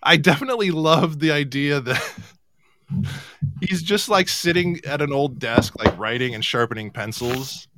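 A man's voice: a little high, measured pace, pushed and bright. A man hosting a live call-in show, chatting casually with guests. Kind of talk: casual group chat.

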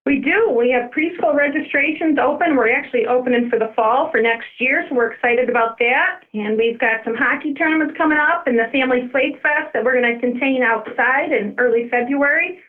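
A woman's voice: high-pitched, fairly quick, few pauses. A woman speaking as a recreation department guest in a casual radio interview over the telephone. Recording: muffled narrow phone line.

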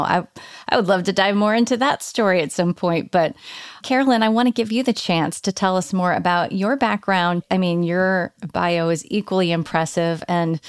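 A woman's voice: fairly low pitch, smooth, no room sound, clean studio sound. A woman hosting a podcast, asking guests questions in an engaged, inviting way. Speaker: podcast host. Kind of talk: interview questions.

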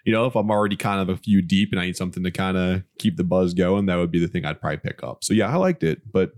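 A man's voice: low voice, fast, a little mellow. A man chatting easygoingly about a beer on a casual podcast. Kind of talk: casual chat.

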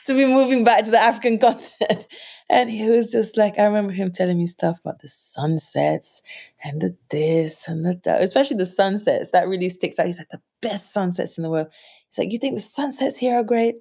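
A young woman answering interview questions, telling personal stories in a relaxed, chatty way and laughing often. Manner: animated, laughs often, jumps up in pitch when quoting others. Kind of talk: reflective conversational storytelling.

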